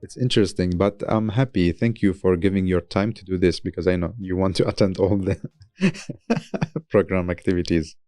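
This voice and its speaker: Low, mellow male podcast host. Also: normal speed, dry recording, clean studio sound. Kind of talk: casual interview.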